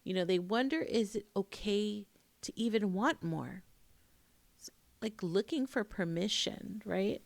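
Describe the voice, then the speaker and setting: A woman in her late forties with an American accent, telling a personal story to camera in an expressive way with pauses for emphasis. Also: medium pitch, vocal fry, no room sound. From Queens, New York, United States. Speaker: vlogger, emotional intimacy coach.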